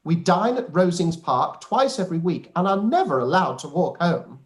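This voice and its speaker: A man's voice: high voice, sing-song, moderate pace. A man playing a pompous, obsequious clergyman in a radio play, wordy and effusive. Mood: gushing, boastful. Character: pompous, fawning male clergyman cousin, self-satisfied and obsequious. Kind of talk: radio play live read.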